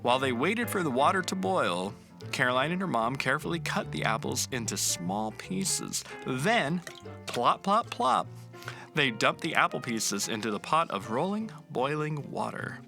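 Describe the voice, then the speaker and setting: A man narrating a children's picture book aloud in an expressive, storytelling way. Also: medium pitch, normal speed, creaky, soft background music.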